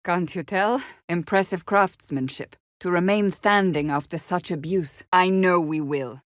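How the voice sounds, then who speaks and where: A woman's voice: lowish voice, measured pace, no room sound, clean studio sound, close to an everyday voice. An actress voicing a stern, devout warrior woman in a fantasy video game, speaking in a formal, blunt, commanding way. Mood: stern, earnest.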